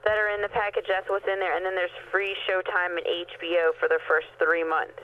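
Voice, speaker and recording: A woman's voice: on the low side, level tone, a little fast, slight background noise. A woman working as a customer service agent, explaining things on a telephone call. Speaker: satellite TV service phone agent. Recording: tinny narrow phone line.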